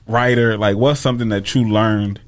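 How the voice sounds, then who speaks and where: A man's voice: fairly low pitch, fairly even intonation, moderate pace. A man co-hosting a radio interview, chatting casually with slangy, joking phrasing. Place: radio studio.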